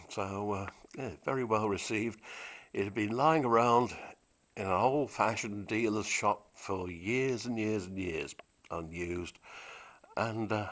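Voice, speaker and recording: A man's voice: on the low side, fairly bright tone. A British man giving a casual, unscripted demonstration of vintage equipment in a chatty, wry, understated way.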